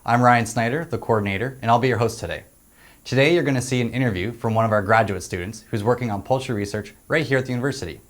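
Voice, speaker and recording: A man's voice: medium pitch, quick pace. Man hosting with friendly prompts. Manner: friendly prompting with frequent uh fillers. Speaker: host and network coordinator. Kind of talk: interview hosting.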